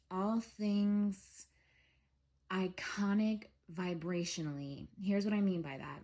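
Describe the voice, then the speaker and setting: A young woman with a head cold, congested and a little scratchy, giving a relaxed spiritual reading monologue with many ums. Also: lowish voice. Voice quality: scratchy throat. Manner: slow, soothing, with frequent um fillers. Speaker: tarot reader.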